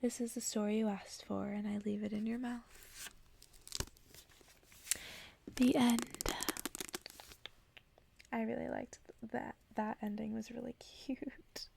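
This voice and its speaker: A woman ASMR host whispering softly and close to the microphone in a breathy voice, as if reading bedtime stories aloud. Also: fairly high pitch, little pitch movement, slow pace, long pauses, a little hiss or hum. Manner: whispering, soft-spoken.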